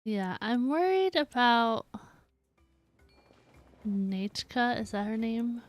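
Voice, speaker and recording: A woman's voice: a little high, animated, takes it slowly, dry recording. A woman streaming a video game with casual live commentary. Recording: game music behind the voice. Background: video game music.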